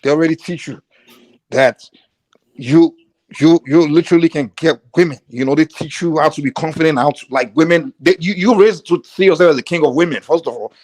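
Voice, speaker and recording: A man's voice: on the high side, a little fast, a little mellow, no room sound, poor audio. A man from Nigeria with a Nigerian accent, a guest in a heated debate who keeps pressing his point over interruptions. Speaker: guest on a call-in show.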